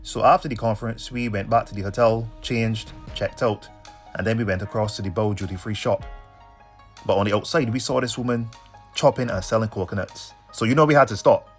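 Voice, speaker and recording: A man's voice: on the low side, fairly even intonation, fairly quick, breathy edge, faint music behind. A man in his thirties from Barbados with a Bajan accent, talking casually about his travels in a laid-back, colloquial way. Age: 30s. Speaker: travel vlog host. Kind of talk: casual travel narration and interview answers.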